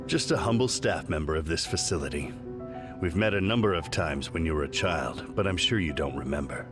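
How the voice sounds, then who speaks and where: Low-voiced, condescending research scientist. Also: male voice, lively intonation, fairly quick, music in the background. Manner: clinical, matter-of-fact, condescending. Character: detached, condescending middle-aged male research scientist at a hospital. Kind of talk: scripted video game cutscene acting.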